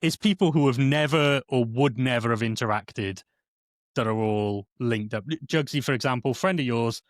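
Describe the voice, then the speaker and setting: A man from South Wales chats as a podcast guest, trading stories with a ready laugh and a habit of repeating words. His voice sits at an ordinary man's pitch, and the sound is dry and close. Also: normal speed, no echo. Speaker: guest, streamer. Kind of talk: casual podcast chat.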